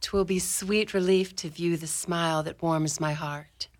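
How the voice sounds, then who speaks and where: A woman playing a devoted, anxious wife in an amateur Shakespearean radio drama, speaking in a measured, earnest manner. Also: lowish voice, fairly even intonation, natural voice. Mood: tender, weary. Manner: measured, earnest archaic delivery.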